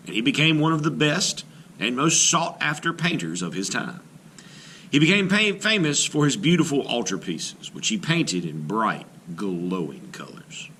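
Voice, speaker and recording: A man's voice: medium pitch, a little hiss or hum. A man teaching art, reading a painter's biography aloud and then walking through a timeline with casual asides. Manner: lively, emphatic read-aloud delivery with asides.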